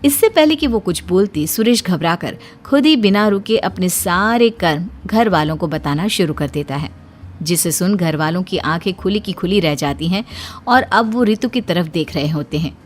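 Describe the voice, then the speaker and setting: A woman's voice: on the low side, expressive, normal speed. A woman reading a scripted story in a dramatic storytelling style. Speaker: story narrator. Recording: music bed under the voice. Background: background music.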